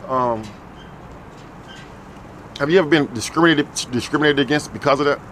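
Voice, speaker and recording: A man's voice: on the high side, fairly quick, fairly bright tone, low-quality recording. Give me a casual male street interviewer who stammers a little, with faint traffic behind. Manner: casual, repeats answers back, stammers on restarts. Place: city street outdoors.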